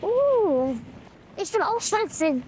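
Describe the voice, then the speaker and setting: A man voicing a sweet, clueless young girl through a pitch-shifting voice changer, chatting casually in a gushing way. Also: big pitch swings, slow, a little mellow, a little hiss or hum, heavily acted, poor audio. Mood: shy, sweet. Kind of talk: casual game chat, live stream prank.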